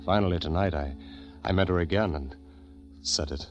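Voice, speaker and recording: A very deep-voiced gentleman in an old radio drama, with music clearly behind him. He is earnest and anxious, kindly and inquisitive, and speaks with a measured diarist's care. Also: lo-fi sound. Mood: anguished narration. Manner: measured diary narration, stammers when agitated. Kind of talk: 1940s radio drama acting.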